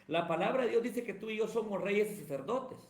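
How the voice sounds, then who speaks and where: A man talks in a high, ringing voice. He answers like a pastor in the pulpit, building emphatic runs of phrases. The room around him is roomy and echoey. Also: lively intonation, fairly quick. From Guatemala. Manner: emphatic, sermon-like cadence. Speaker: pastor and evangelist, guest. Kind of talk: preaching-style interview answers. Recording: roomy echo.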